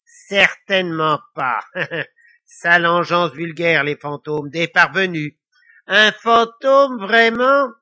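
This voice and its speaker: A man's voice: sits high, slow, bright, forceful tone, exaggerated voice. A man voicing a mischievous, boastful ancient little devil in a children's story, with a sneering, theatrical manner. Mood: haughty, boastful. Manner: sneering, theatrical, cackling taunts. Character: mischievous, boastful five-thousand-year-old little devil, male.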